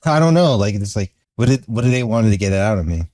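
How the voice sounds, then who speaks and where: Male video commentator, lowish register, dry sound. Unscripted, casual, rambling and hesitant. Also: lively intonation, fast talker, warm tone, no room sound.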